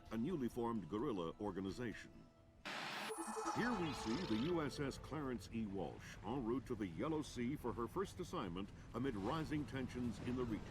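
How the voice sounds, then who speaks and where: Man doing a tv news anchor reading headlines, neutral and crisp, music behind. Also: mid-range voice, lightly acted. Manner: crisp, neutral newsreader delivery.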